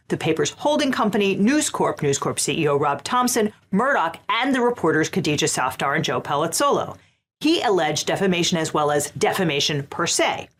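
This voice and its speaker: A woman lawyer hosting the show, delivering scripted legal commentary in a wry, sardonic way. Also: fairly low pitch.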